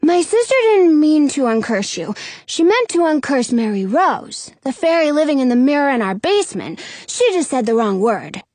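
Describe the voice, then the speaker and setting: Woman playing an earnest little boy, high-pitched. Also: sing-song, normal speed, darkish tone, no room sound. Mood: eager, earnest. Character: eager young boy, the narrator's little brother.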